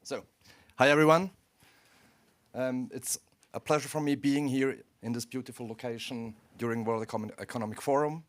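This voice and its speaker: A man's voice: mid-range voice, steady tone, moderate pace. A Swiss man who is not a native English speaker, presenting his company at a conference with self-deprecating, ironic humor. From Switzerland. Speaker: startup CEO presenting. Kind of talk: conference pitch talk. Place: conference stage.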